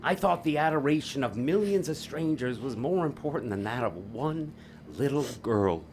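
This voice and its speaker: A man playing an earnest, remorseful father and stage magician in an audio drama, speaking in a halting way. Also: medium pitch, lively intonation, a little mellow, a little hiss or hum, natural voice.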